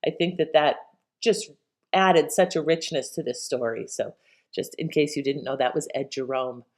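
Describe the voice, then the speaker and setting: A woman's voice: low voice, moderate pace. A woman host giving casual, conversational commentary with an appreciative manner.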